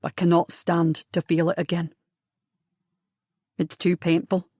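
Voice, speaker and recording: A woman's voice: little pitch movement, warm tone, slightly rough, dry recording, clean studio sound. A woman doing an earnest, wounded young man. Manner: low, earnest, halting.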